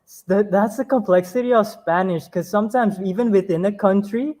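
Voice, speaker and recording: Male podcast host, a bit high-pitched, encouraging and effusive, casual delivery. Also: brisk, darkish tone. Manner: enthusiastic, affirming, hesitant fillers. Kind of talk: casual interview chat.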